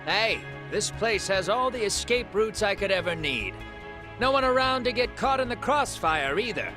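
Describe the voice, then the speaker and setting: A man's voice: high-pitched, very animated, normal speed, over music. An actor playing a brash, stubborn man on the run in a video game cutscene, speaking glibly and colloquially. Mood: breezy. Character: brash male fugitive, casual but stubbornly loyal.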